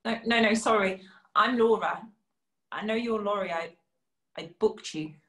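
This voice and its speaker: A woman's voice: mid-range voice, measured pace, fairly bright tone, lightly acted. A woman acting a polite, unsure client in a comic scene, hesitant and apologetic, recorded in an echoey room. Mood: nervous, polite.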